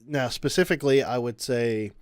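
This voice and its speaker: Podcast dude, average pitch, talks eagerly, dry close sound. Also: male voice, moderate pace, clear, resonant tone, dry recording. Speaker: podcast co-host. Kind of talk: casual chat.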